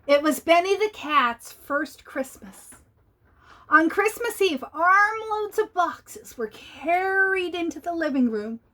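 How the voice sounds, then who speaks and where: A woman's voice: extremely high pitch, animated, takes it slowly, sharp tone. A woman reading a picture book aloud to young children, playful and asking her listeners questions.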